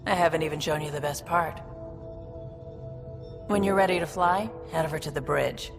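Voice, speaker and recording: Deep-ish female alien fixer from a space game, brisk n confident, streetwise, music under it. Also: creaky. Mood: proud, businesslike. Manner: brisk, matter-of-fact, streetwise. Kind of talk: video game cutscene acting.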